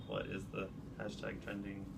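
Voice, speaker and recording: A man hosting a casual interview, friendly and quick to laugh, putting questions to his guest. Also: lowish voice, monotone, creaky, noisy background. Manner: friendly, laughs easily, offers short comments. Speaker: interview host.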